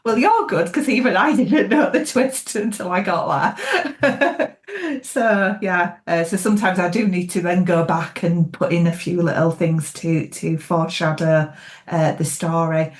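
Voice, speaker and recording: A woman with a Yorkshire accent, talking as an author guest in a relaxed interview, chatty with frequent ums and easy laughs. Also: lowish voice, unhurried. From Yorkshire, England. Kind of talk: casual interview chat.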